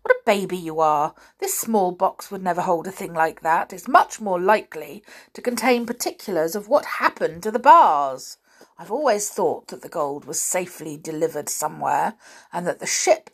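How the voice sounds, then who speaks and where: A woman's voice: fairly low pitch, animated, normal speed, a bit bright, husky, exaggerated voice. A woman voicing an irascible middle-aged uncle in a story, barking indignant questions and scornful remarks.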